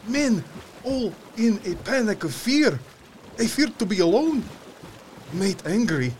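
A troubled sea captain in an audio drama, his voice high and very animated in pitch. He reads his log slowly, in clipped, terse phrases. Faint boat and water noise sits behind him. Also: full character voice. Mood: uneasy, dread. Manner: terse, deliberate.

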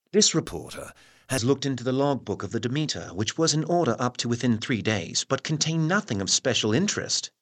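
A man playing a grave newspaper reporter in an audio drama, narrating in a formal, measured, solemn manner. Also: mid-range voice, fairly bright tone.

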